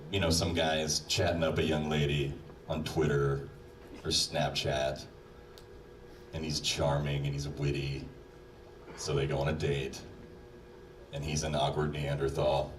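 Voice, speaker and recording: A man's voice: a bass voice, little pitch movement, unhurried, pauses often. A man delivering a humorous, admonishing monologue with mock-stern manner, addressing the listener directly. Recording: roomy, distant playback with crowd noise.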